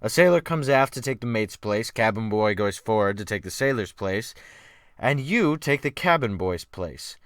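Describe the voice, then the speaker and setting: Man voicing a forceful, domineering sea captain. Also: fairly low pitch, lively intonation, moderate pace, no echo, studio quality. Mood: mocking, commanding. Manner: imperative, mocking. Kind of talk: dramatic audiobook character acting.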